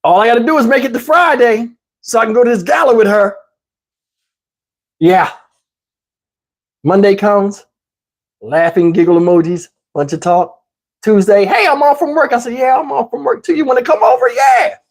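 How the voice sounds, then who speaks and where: A man's voice: very high voice, moderate pace, long pauses. A man telling a personal story in a casual, comedic, slangy way, animatedly acting out the dialogue. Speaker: storyteller, channel host.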